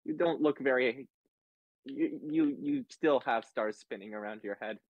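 A man voicing a gentle, awkward, reassuring cat adventurer in a tabletop game. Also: medium pitch, unhurried, no echo, phone-quality sound, natural voice.

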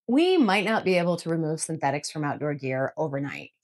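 A woman's voice: low voice, big pitch swings, a little fast. A woman in her thirties giving an earnest, emphatic scripted explainer to camera from a room at home. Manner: earnest, emphatic, gestures with both hands. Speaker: outdoor vlogger. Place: home room with bookshelves, indoors.

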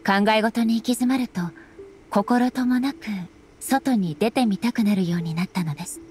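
A woman playing a solemn, dignified female ruler in an anime game, speaking formally and with measured politeness. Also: a little high, darkish tone, slight background noise, natural voice. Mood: composed. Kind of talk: anime game dialogue acting.

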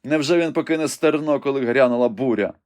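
A man's voice: medium pitch, fast, a little mellow, pitched above the performer's own voice, lightly acted. A man voicing a wounded young knight, impatient and urgent, pressing questions from his bed. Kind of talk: audiobook reading.

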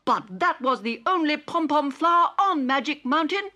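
A woman's voice: animated, normal speed, pushed and bright. A woman doing an eager little robot boy. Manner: high, eager and pleading. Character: small male robot, eager and excited.

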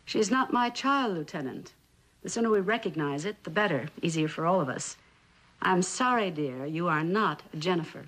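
A woman's voice: on the low side, animated, normal speed, fairly bright tone, rough recording. A woman playing a cold, proud, well-to-do older mother in a mid-century TV drama, clipped and formal. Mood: cold, insistent.

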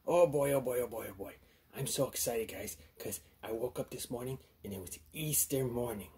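A man voicing a friendly, childlike little monster puppet, excitable and easily flustered, exclaiming in repeated phrases. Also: mid-range voice, moderate pace, slightly rough, voice pushed up. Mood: excited. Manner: repetitive, wide-eyed exclaiming. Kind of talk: children's puppet show skit.

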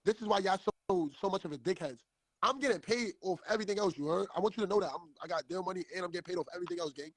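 Eighteen-year-old man, insistent defensive delivery, quick pace, phone mic. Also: high-pitched, rough recording. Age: teens. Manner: defensive, insistent, repeats "bro". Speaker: rapper on a live stream. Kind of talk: heated casual argument. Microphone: phone front camera.

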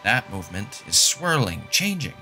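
A man's voice: on the low side, very animated, moderate pace, pushed and bright, soft background music, full character voice. A man voicing a confident, sardonic male mathematician who explains things patiently and emphatically in a fan audio drama. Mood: lecturing. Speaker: fan voice actor.

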